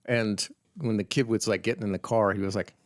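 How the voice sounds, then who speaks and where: Halting, low-voiced man in his fifties. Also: fairly even intonation. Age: 50s. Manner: halting storyteller, trailing fillers and restarts, dry. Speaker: podcast co-host. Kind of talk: casual chat.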